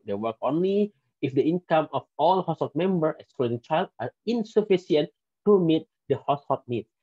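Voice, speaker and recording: A man's voice: medium pitch, mellow, no room sound. A man in his thirties from Indonesia, speaking English with an Indonesian accent, giving a recorded conference presentation with some careful restarts. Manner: careful non-native English, occasional restarts. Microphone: headset. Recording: dull lo-fi dry sound.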